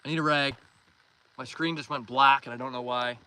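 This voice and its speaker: A man's voice: medium pitch, fairly even intonation, a little fast, bright, forceful tone, smooth. A man with a Canadian accent chats casually as a livestream host, reading out and answering viewer comments in a friendly, welcoming way. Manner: friendly, welcoming, thanks and greets viewers by name. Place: outdoors in a canoe on a pond. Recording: noisy outdoor recording with water and canoe sounds.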